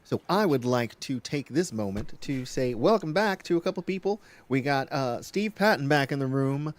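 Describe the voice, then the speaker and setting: A man casually hosting a live open mic webcast, with a halting delivery that gets easily sidetracked. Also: mid-range voice, expressive.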